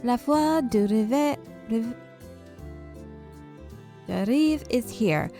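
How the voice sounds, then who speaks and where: Female game streamer, expressive story reader, casual commentary, music in the background. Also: a little high, drawn-out words. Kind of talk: reading visual novel text aloud with casual asides.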